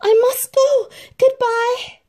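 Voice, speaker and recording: A woman's voice: extremely high pitch, flat delivery, slow, a little mellow, voice pushed up. A woman voicing a sweet, dreamy young fairy-tale heroine in a storybook, exclaiming breathlessly. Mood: urgent.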